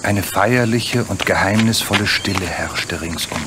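A man's voice: low voice, measured pace, very noisy. A man narrating a scripted children's story as its storyteller. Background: music.

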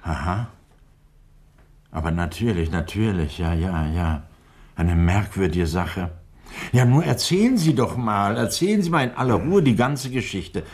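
A genial older doctor. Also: male voice, sits low, big pitch swings, measured pace, a little mellow. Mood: probing. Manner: genial, hospitable, probing. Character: older male nerve doctor, smooth and hearty. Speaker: doctor character. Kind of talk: 1960s radio play acting.

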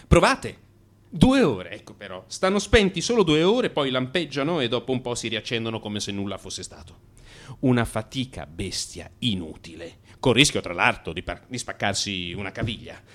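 A restless man in crisis in a radio theatre monologue. He confides his frustrations in a theatrical, confessional way. His intonation is very animated, moving between hushed phrases and emphatic bursts. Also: mid-range voice. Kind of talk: dramatic first-person radio theatre monologue.

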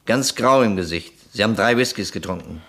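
An actor playing a shrewd, skeptical police detective in a German crime radio play, questioning in a dry, probing, sardonic way. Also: male voice, lowish voice, a little fast, fairly bright tone. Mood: dry, needling.

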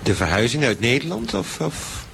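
A man's voice: mid-range voice, wildly swooping pitch, normal speed, creaky, fairly bright tone, a little hiss or hum, exaggerated voice, poor audio. A man playing a polite, curious writer in a radio play, asking formal, hesitant questions. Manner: hesitant, formal, repeats words. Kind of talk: improvised radio play dialogue.

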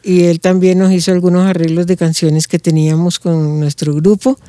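A woman's voice: lowish voice, level tone, moderate pace, warm tone, no room sound, clean studio sound. A woman in her sixties from Barranquilla with a Colombian Caribbean coast accent, a musician telling her story in an interview. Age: 60s. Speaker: musician guest. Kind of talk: interview conversation.

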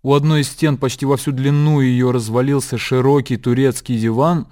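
A man narrating a literary radio drama in a measured storytelling manner. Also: medium pitch, steady tone, a little mellow.

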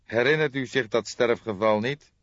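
A man's voice: mid-range voice, fairly bright tone, no room sound, muffled recording, pitched above the performer's own voice, close to an everyday voice. A man voicing an old police detective in an audiobook, courteous and formal but insistent, with restrained impatience. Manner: deliberate, formal, spelling things out carefully.

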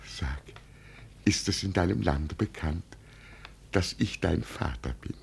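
A man's voice: deep voice, slow, vocal fry, a little mellow, slightly rough, heavily acted. An actor playing an old man who believes he is an emperor, speaking with solemn, tremulous grandeur in a vintage radio drama. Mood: proud, dreamy. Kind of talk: 1950 German radio drama acting. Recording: noisy vintage 1950 radio recording.

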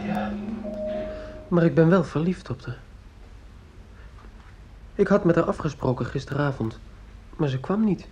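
A lovesick teenage boy in a radio drama, with a soft dark tone and a husky edge. He speaks earnestly and politely, with some hesitation. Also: fairly high pitch, expressive, unhurried, long pauses, highs cut off, soft background music. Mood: lovesick, insistent. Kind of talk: Dutch radio play acting.

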